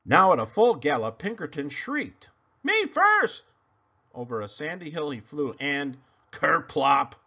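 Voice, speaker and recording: A man reading a children's picture book aloud in an animated, dramatic, sing-song way. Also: on the high side, normal speed. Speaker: read-aloud storyteller. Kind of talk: expressive children's story reading. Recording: dull lo-fi sound.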